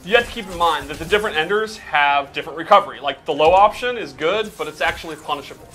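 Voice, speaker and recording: A man's voice: fairly high pitch, fast talker, sharp tone, faint music behind. A man giving casual, explanatory gameplay commentary as a developer showing off a game, peppering his talk with "like". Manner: explanatory, casual, says "like" often. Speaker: game developer presenting a demo.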